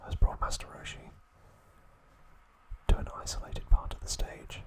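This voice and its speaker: A man narrating trivia as an ASMR host, hushed and deliberate, in short separated phrases.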